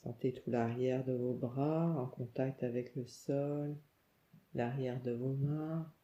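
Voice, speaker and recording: A woman leads a guided relaxation meditation, calm and soothing, giving gentle instructions in short phrases with pauses. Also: very deep voice. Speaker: yoga nidra meditation guide. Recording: muffled, echoey room sound.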